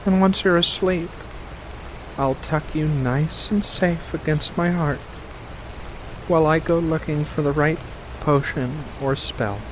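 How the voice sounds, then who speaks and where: An ASMR performer voicing a doting, playful, sweetly possessive witch in a soft-spoken roleplay, cooing and coaxing with hums and pet names, over a noisy background. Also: medium pitch, takes it slowly, natural voice. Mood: gentle, coaxing.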